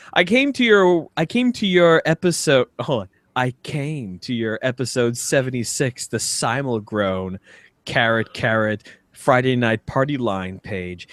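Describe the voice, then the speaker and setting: A man's voice: mid-range voice, a bit bright, dry recording. A man hosting a comedy podcast, bantering casually and teasingly and reading things out for laughs. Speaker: podcast host.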